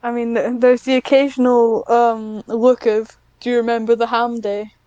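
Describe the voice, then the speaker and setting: A woman's voice: on the high side, little pitch movement, normal speed, mellow, clean tone, dry recording, highs cut off. A young woman with a British accent, chatting casually as a podcast guest and telling stories in a rambling, giggly way. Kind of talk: casual chat.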